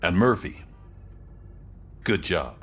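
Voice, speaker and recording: An actor playing a loyal male police ally with plain, steady, reassuring speech in a game cutscene. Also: low-pitched, slow, slightly rough, faint music behind, exaggerated voice. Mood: weary. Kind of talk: video game cutscene acting.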